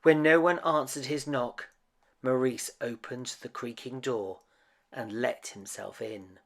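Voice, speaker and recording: A man narrates a children's storybook in an expressive, dramatic way. He takes it very slowly, with a gravelly creak in the voice, swelling for emphasis and dropping to a hush. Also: mid-range voice. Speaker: storyteller reading a picture book aloud.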